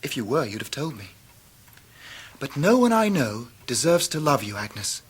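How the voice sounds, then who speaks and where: A man's voice: medium pitch, sing-song, normal speed, slightly rough. An actor playing an earnest, affectionate, well-mannered young man in a period radio drama, tender and a little hesitant. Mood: eager, affectionate.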